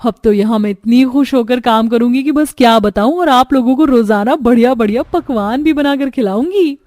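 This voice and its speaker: A woman voicing a young Indian daughter-in-law, polite but easily frustrated, in an earnest, expressive cartoon family story. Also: on the high side, moderate pace, dry recording, lightly acted. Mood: delighted.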